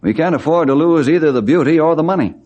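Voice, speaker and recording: An actor playing a steady, firm head forest ranger in an old-time radio western. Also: male voice, on the high side, fast, dull audio, lightly acted. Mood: businesslike. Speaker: ranger leader. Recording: old radio drama recording.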